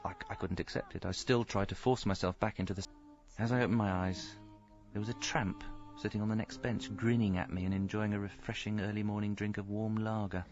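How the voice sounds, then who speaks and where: Actor as sad-sack middle aged bloke narrating radio comedy, deadpan, low creaky voice. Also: male voice, fairly quick, lightly acted. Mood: rueful. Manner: deadpan, rueful. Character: rueful, self-pitying homeless husband narrating his misfortunes. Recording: slightly dull audio, faint music.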